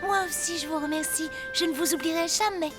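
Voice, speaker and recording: A woman playing a gentle, sorrowful young princess in a dubbed fairy-tale cartoon, plaintive and fragile. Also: extremely high pitch, fairly quick. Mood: grateful. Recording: loud music behind the voice.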